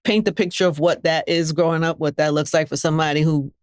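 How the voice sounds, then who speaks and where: A woman's voice: low voice, fairly even intonation, fast talker, no room sound, studio quality. Conversational middle-aged woman host. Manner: warm, affirming, conversational with frequent mm-hmms. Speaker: podcast host. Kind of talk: interview hosting.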